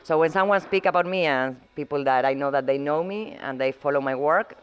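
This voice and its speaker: A middle-aged woman from Argentina, speaking English as an in a halting, searching way as an interview guest. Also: sits low, clean tone. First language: Spanish. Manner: halting, searching for words, frequent restarts. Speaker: muralist guest. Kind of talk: casual interview conversation.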